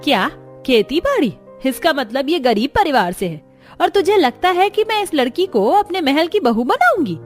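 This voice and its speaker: Woman voicing a haughty, high-pitched scheming mother-in-law. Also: big pitch swings, moderate pace, faint music behind. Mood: scornful, indignant. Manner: scornful, commanding, scheming.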